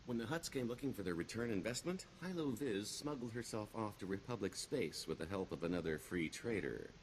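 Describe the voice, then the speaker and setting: An actor playing a roguish, glib smuggler and con artist in a video game, with a wry, theatrical delivery. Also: male voice, lowish voice, expressive, a little fast, slight background noise, close to an everyday voice, low-quality recording. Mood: sly, wry. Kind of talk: video game character dialogue.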